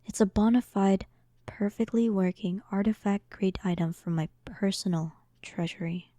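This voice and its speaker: A woman's voice: mid-range voice, normal speed, warm tone, dry recording, studio quality, natural voice. A woman voicing an arrogant, sarcastic demon queen in a roleplay audio drama, teasing and condescending, often dropping toward a whisper. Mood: smug, teasing.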